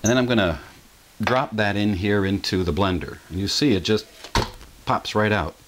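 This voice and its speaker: Middle-aged man, low voice, folksy explainer, home kitchen, camera mic, faint background noise. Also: moderate pace. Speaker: channel host. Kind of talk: casual kitchen demonstration.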